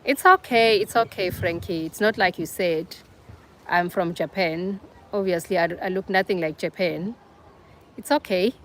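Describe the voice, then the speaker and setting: A woman's voice: on the low side, moderate pace, fairly bright tone, a little hiss or hum. A young South African woman with a South African accent, hosting a casual livestream and chatting with viewers, teasing them by name. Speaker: livestream host. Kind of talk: casual live chat with viewers.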